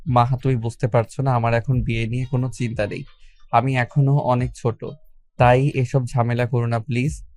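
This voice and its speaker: A man's voice: mid-range voice, flat delivery, normal speed, darkish tone, close to an everyday voice. A man playing an irritated, unwell young man, curt and dismissive, in an audio love story. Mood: weary, dismissive.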